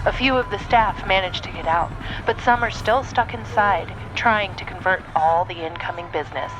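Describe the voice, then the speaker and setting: A woman's voice: medium pitch, moderate pace, over music, close to an everyday voice, poor audio. A woman playing a dramatic, urgent TV field reporter in a mock news report. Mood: grave, dramatic. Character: dramatic female TV field reporter at disaster scene.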